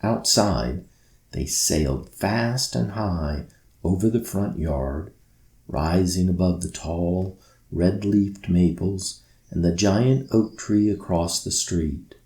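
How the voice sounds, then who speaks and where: A man narrates a children's storybook in a low register. He takes his time with each phrase, and the room around him adds a noticeable echo. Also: slow, a little mellow. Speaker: author reading own book aloud. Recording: roomy echo.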